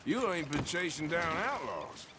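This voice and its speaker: Weary western outlaw: American, gruff and laconic, dry folksy delivery, unhurried, heavy watery background noise. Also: male voice, fairly high pitch, huge pitch swings. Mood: grumbling. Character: gruff, world-weary male outlaw gunslinger. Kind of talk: cinematic western game acting. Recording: very loud watery boat noise behind. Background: water and boat sounds.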